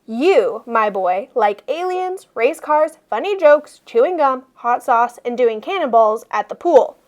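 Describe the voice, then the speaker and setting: A woman voicing an enthusiastic schoolteacher who is fond of her pupils and speaks in emphatic, deliberate lists. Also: fairly high pitch, animated. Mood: knowing, excited.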